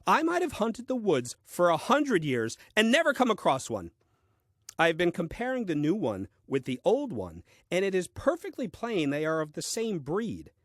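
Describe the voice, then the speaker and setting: Guy acting puzzled earnest first man, diary comedy monologue, deliberate, a bit high pitched, very animated. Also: dry recording, studio quality. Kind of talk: audio play diary monologue, dry comedy.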